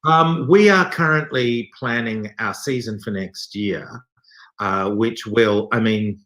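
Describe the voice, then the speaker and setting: A man being interviewed, talking casually in a thoughtful, halting way with wry, self-deprecating humour. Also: fairly low pitch, fairly bright tone. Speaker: theatre company director being interviewed.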